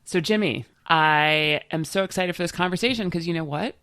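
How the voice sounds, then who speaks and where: A woman's voice: on the low side, moderate pace, a bit bright, no echo, clean studio sound. A middle-aged woman co-hosting a podcast, chatty and enthusiastic, sounding nasal from a cold. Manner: chatty, enthusiastic, many fillers. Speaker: podcast co-host. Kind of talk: casual podcast chat.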